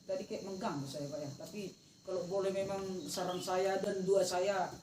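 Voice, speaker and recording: A woman's voice: mid-range voice, steady tone, moderate pace. A woman with a North Sumatran Indonesian accent gives informal feedback as an observer. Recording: distant echoey recording, insect noise.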